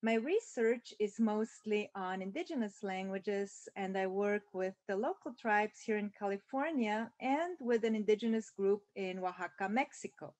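A woman's voice: mid-range voice, expressive, moderate pace, hardly any pauses, a little mellow, no echo. A woman professor from Switzerland introducing herself in a friendly, welcoming way over a video call. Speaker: Spanish and linguistics professor. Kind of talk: faculty self-introduction. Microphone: video call webcam.

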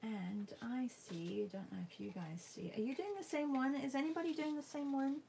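A woman giving a casual painting demo, thinking aloud in a halting, musing way and murmuring to herself as she works. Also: sits high, creaky, mellow, slight background noise. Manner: halting, musing, murmurs to self while working. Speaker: art demonstrator. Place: indoors at a painting table.

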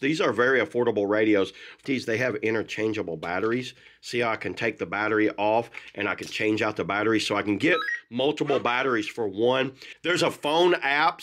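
A man's voice: mid-range voice, fairly bright tone. A middle-aged man giving a casual hands-on product review in informal, folksy phrasing. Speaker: gear reviewer, host. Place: indoors at a desk.

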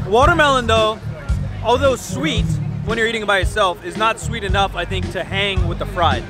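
A man in his thirties, talking casually and jokily on a handheld camera at an outdoor fair. His voice sits a little high, and loud music plays behind him. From Northern California. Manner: animated, jokey, swears casually. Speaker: vlogger. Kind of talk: casual food-review vlog. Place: outdoor state fair, crowd and rides around.

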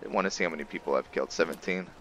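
A man giving roleplay game commentary, musing and pausing often as he talks. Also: sits low, a bit bright, slight background noise. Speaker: gameplay video host. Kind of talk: roleplay game commentary with some reading aloud.

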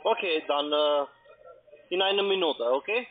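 Foreign accented guy doing german sales call, polite, halting, highish voice, tinny phone. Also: faint music behind. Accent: non-native German. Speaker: call centre agent. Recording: tinny narrow telephone line.

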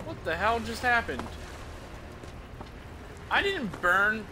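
A man's voice: high voice, sing-song, takes it slowly, background noise. A young man giving casual, informal gameplay commentary as a streamer, thinking aloud. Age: young adult. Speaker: game streamer. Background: game music.

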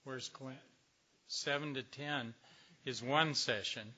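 An older man, a little hoarse, giving a slow, reflective talk to a recovery meeting with many pauses. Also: mid-range voice, sharp tone. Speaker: speaker at a recovery workshop.

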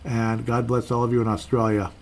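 A man's voice: medium pitch, flat delivery, a little fast, darkish tone, slight background noise. A man hosting a casual solo podcast, reading out listener comments in an easygoing, rambling way and correcting himself as he goes. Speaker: podcast host.

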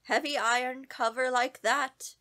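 A woman's voice: sits high, steady tone, measured pace, sharp tone. A woman playing a brusque, folksy older mother-in-law in a radio horror drama. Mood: brusque, puzzled.

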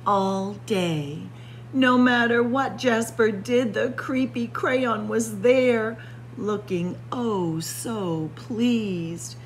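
A woman reading a picture book aloud in a dramatic, suspenseful story-time style, sometimes hushed for emphasis. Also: fairly high pitch, extremely slow, slight background noise. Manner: suspenseful, expressive, drops to hushed whispers for emphasis. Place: indoor library room with bookshelves and windows.

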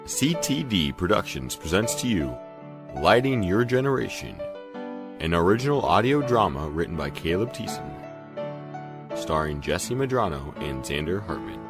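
A man's voice: deep voice, sing-song, normal speed, music in the background. A man delivering a scripted program announcement.

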